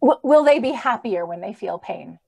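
A woman's voice: a little high, expressive, normal speed, creaky, darkish tone, breathy edge, heavily acted. A woman acting an earnest, idealistic young lady crusader in an old-time radio drama. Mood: troubled, questioning.

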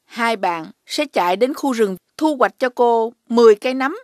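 Woman as female schoolteacher, cartoon dub, higher pitch, instructive, measured, caring, Southern Vietnamese accent. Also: lively intonation, a little mellow, no room sound, clean studio sound, natural voice. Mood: announcing. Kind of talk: children's animated dubbing. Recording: music behind the voice.

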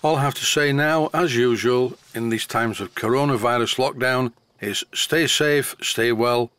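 A middle-aged Yorkshire man talks through a hobby video in a matter-of-fact, explaining tone. His pitch sits in an ordinary middle range for a man. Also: measured pace, a bit bright, clean tone, no room sound. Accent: Yorkshire English. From England. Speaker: model engineering hobbyist. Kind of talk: voice-over narration of a workshop video.

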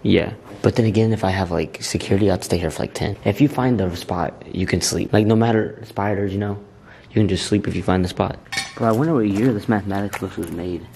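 A young American man with a lowish voice, talking casually as he explores. He is slangy and excitable and swears freely. The handheld camera audio is clearly noisy. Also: fairly quick. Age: young adult. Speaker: vlogger. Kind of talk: casual chat while exploring. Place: inside an empty derelict building.

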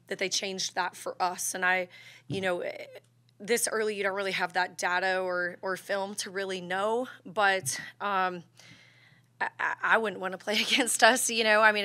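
Woman basketball coach, thirties, steady delivery, bright voice, dry close sound, table mic. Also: lowish voice, no room sound. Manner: steady, even delivery with many fillers and restarts. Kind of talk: postgame press conference answers. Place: press conference room at a table. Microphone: table microphone.

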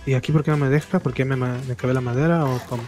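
A man's voice: mid-range voice, steady tone, very fast, darkish tone, faint music behind. A young man from Ecuador chatting casually over voice chat while playing, laughing often and explaining how the game works. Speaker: co-player.

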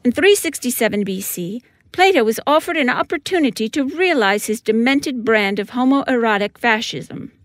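A woman's voice: on the high side, lively intonation, normal speed, hardly any pauses. A woman reading scripted comic narration with theatrical, wry emphasis. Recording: music behind the voice.

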